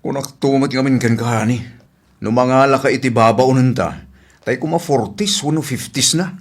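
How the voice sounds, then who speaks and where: An uneasy, faithful husband acted by a man in a household audio drama. He speaks at a medium pitch, earnest and full of complaint. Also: moderate pace, natural voice. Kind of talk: domestic audio drama acting.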